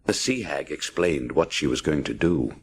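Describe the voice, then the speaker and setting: A man's voice: extremely low pitch, expressive, normal speed, a little hiss or hum. A man narrating a children's adventure story dramatically, punching out the action words. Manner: punchy, theatrical sound-effect words.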